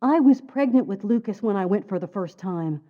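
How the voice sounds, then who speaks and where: A woman's voice: mid-range voice, expressive, normal speed, lightly acted. A woman playing an earnest, insistent mother and scientist in a dramatic video game scene. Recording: tinny, narrow phone-like muffled sound.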